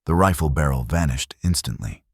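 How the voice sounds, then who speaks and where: A man's voice: extremely low pitch, animated, moderate pace, breathy edge, dry recording, studio quality. A man narrating a dramatic Western tale as a scripted storyteller.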